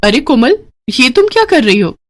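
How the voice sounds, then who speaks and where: Woman as a high, snappish sister-in-law. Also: sing-song, normal speed. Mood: surprised. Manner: sharp, scolding. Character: snappish, scornful city-bred elder sister-in-law. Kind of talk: animated moral story character voice.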